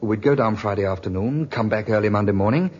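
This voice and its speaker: A man's voice: lowish voice, fast talker, darkish tone, natural voice. An actor playing a glib, scheming ladies' man in a 1950s radio drama, with a suave, coaxing manner. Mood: flirtatious. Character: smooth-talking two-timing male lover, glib and scheming. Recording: dull 1950s radio recording.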